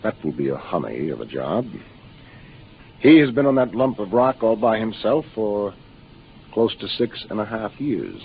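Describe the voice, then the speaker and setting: Gravelly space officer, tinny radio. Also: male voice, fairly low pitch, sing-song, moderate pace. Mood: matter-of-fact. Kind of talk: 1950s radio drama acting. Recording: tinny, noisy 1950s radio recording.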